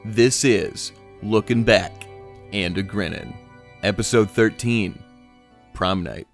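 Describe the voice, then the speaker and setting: A man hosting a mock-documentary comedy interview podcast in an earnest, measured and halting way. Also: fairly low pitch, soft background music. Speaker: podcast host.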